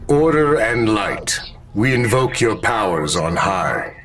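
Solemn commanding sorcerer. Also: male voice, fairly low pitch, sing-song, takes it slowly, fairly bright tone, faint music behind, exaggerated voice. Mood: grave, urgent. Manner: grave, formal incantation. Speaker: game character actor. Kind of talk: video game voice acting.

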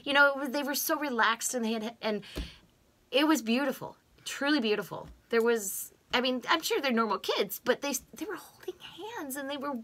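Middle-aged American woman, casual interview guest, bright and emphatic, desk mic at home. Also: high-pitched, normal speed. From United States. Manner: expressive, gestures with hands, emphatic repetitions. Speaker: interviewee, co-host. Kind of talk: casual conversation. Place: small home room with bookshelves, indoors. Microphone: desk microphone on stand.

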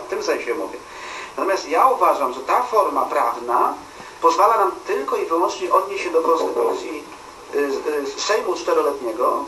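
A man's voice: high voice, sharp tone. A middle-aged man chairing a meeting, insistent and lecturing, often restarting his words, in a stone-walled hall. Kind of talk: persuasive meeting speech. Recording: roomy and distant, faint hiss.

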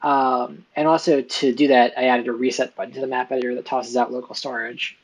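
A man's voice: mid-range voice, fairly quick, rough recording. Laid-back man explaining on a call. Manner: relaxed, explaining, many fillers. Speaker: co-host of a game dev stream. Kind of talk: casual technical chat.

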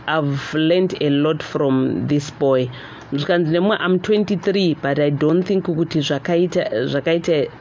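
Zimbabwean woman hosting radio, low-voiced, slightly muffled. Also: a little mellow. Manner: switches between Shona and English. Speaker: radio talk show host. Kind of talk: live call-in radio talk, reading out listener messages. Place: small radio studio. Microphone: studio microphone on boom arm. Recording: muffled studio audio with faint noise.

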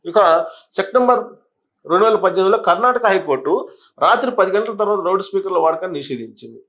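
A man's voice: high voice, animated, fast talker, fairly bright tone. A man giving explanatory news commentary, partly reading aloud, addressing viewers didactically and sometimes stumbling over and repeating words.

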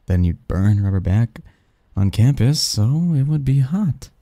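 A man playing a snarky young research assistant who fondly teases a friend in a halting, wordy, intimate ASMR roleplay monologue. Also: mid-range voice, sing-song, mellow, no room sound, studio quality. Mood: teasing, fond. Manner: halting, sighing, teasing, wordy.

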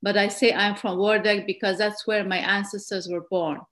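Middle-aged woman from afghanistan doing a slide talk on a webcam call, earnest, measured, restarts a lot. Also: medium pitch, level tone, fairly bright tone. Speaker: guest presenter. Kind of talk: slide presentation lecture. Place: indoor room at home. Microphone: webcam on a video call.

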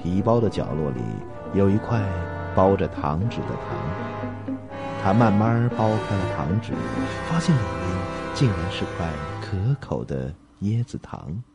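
Deep-voiced, animated male narrator. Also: a little slow, over loud music. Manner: suspenseful, expressive storytelling. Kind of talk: dramatic scripted narration.

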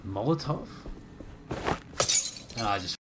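A young man streaming a horror game, chatting casually and reacting in a jumpy, excitable way, muttering to himself. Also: fairly low pitch, takes it slowly, background noise. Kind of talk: casual gameplay commentary. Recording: game music playing behind voice.

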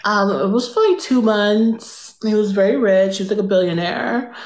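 A woman's voice: medium pitch, normal speed, a little mellow, echoey room, lightly acted. A young woman playing a vain, spoiled rich girl who drawls with lots of "like" and "um" in an improvised livestream bit. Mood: smug, airy. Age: young adult. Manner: airy, drawling, full of "like" and "um". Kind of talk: improvised comedic character on a livestream. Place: indoor room with pink lights. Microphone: phone front camera.

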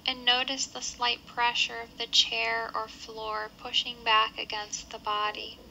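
A woman leading a guided body scan meditation with measured, gentle instructions and pauses between phrases. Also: a little high, flat delivery, creaky, a little hiss or hum, low-quality recording.

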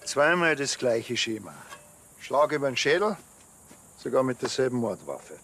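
An actor playing a wry, unhurried policeman with a Bavarian accent and a dry, colloquial manner in a TV crime comedy. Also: medium pitch, sing-song, fairly bright tone, slight background noise. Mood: wry, matter-of-fact.

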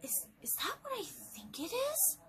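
A young woman voicing an excitable, dramatic anime girl in an audition. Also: very high-pitched, unhurried, gravelly, a crowd in the background, full character voice. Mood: fearful, panicked. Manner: exclamatory, dramatic.

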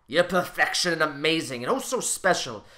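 A man playing a lovestruck husband doting on his houseplant in a comedy script, theatrical, slipping between breathy murmurs and big declarations. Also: on the high side, expressive, moderate pace, bright, forceful tone. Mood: impassioned.

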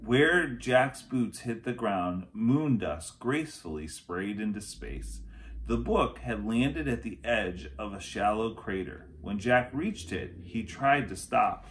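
A man, reading a children's book aloud as expressive narration. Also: lowish voice, slow pace, slight background noise. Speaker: read-aloud storyteller. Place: small furnished room at home, indoors.

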